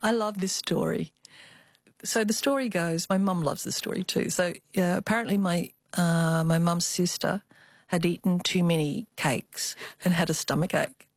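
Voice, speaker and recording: An older woman with an Australian accent, telling personal memories as an interview guest in a thoughtful, expressive way. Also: fairly low pitch, moderate pace, dry recording, studio quality. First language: Cantonese. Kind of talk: reflective personal storytelling.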